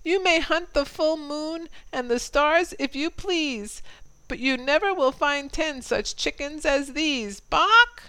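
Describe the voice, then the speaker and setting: A woman voicing a proud, doting mother hen, squawking high above her usual voice. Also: slow pace, pushed and bright, no room sound, full character voice, poor audio.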